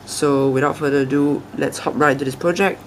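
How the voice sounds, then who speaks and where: A young man with a croaky, soft voice after a flu, hosting a casual hobby tutorial and explaining each step with small hesitations and restarts. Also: fairly high pitch, fairly even intonation, brisk, slight background noise. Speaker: aquarium hobby channel host. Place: indoors at a table.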